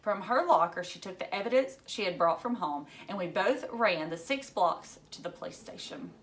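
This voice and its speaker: A woman teacher reading a story aloud to children in an expressive way, emphasizing key words. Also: mid-range voice, normal speed, slight background noise.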